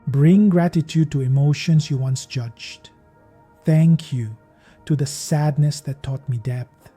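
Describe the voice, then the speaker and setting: A man narrating a guided meditation in a gentle, measured, soothing manner, as a podcast host leading listeners. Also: on the high side, breathy edge. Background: meditation music.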